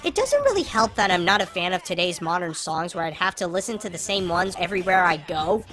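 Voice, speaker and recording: An artificially pitch-shifted voice of a film reviewer giving opinionated scripted commentary with exasperated emphasis on key words. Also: sing-song, brisk, bright, forceful tone, faint music behind.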